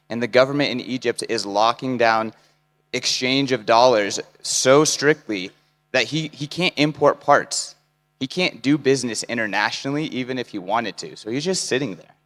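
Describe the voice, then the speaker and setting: A young man giving an earnest, persuasive conference talk, listing his points in short phrases. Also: medium pitch. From United States. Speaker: conference speaker. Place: large conference hall. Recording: hall mic with long reverb.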